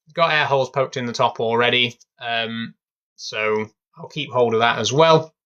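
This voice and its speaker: A man's voice: mid-range voice, normal speed, smooth, muffled recording. A young man chatting casually on a live stream from his bedroom, rambling with lots of fillers. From England. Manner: rambling, relaxed, many fillers. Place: bedroom at home.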